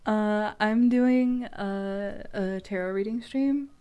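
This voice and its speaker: A woman's voice: medium pitch, takes it slowly, warm tone, smooth. A woman streamer in casual, giggly, playfully profane chat as she hosts a tarot reading.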